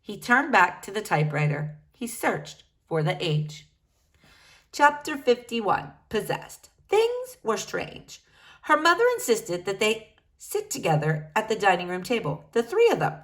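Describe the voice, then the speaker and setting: A woman reads a children's novel aloud in a middle-pitched voice. Her intonation swings widely from line to line, and she lifts or drops her voice to give each character their own sound. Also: very animated, a little slow. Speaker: reader.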